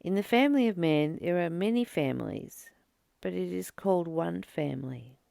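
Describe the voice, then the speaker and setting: A woman reading a spiritual study text aloud and explaining it informally, in a halting, reflective way with pauses between short phrases. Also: fairly low pitch, expressive, vocal fry, dark tone, no room sound, clean studio sound. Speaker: spiritual study reader and commentator. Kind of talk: slow read-aloud study with informal commentary.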